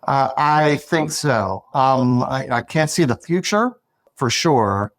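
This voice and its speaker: A man appearing as an interview guest, explaining things in a measured way with hesitant fillers. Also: mid-range voice, lively intonation, no room sound. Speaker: guest, foundation executive. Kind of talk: podcast interview.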